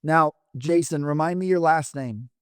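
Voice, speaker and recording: A man hosts a podcast in a loose, chatty manner, his voice a little worn out. His tone stays smooth and resonant, and the recording is dry and close. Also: on the high side, normal speed, dry recording. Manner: relaxed, rambling with fillers. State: losing his voice. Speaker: podcast host. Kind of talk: conversational interview.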